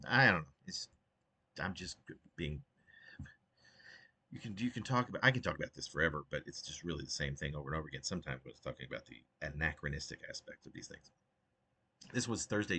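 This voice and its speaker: A man with a husky voice speaking as a poet about and from his own work, in an informal, halting and digressive way. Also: deep voice, sharp tone. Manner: halting, digressive, self-interrupting. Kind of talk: rambling informal commentary and poetry reading.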